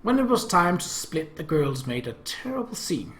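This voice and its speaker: Higher-pitched, animated man in an echoey room. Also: moderate pace. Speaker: let's play host. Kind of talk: reading a visual novel aloud with casual commentary.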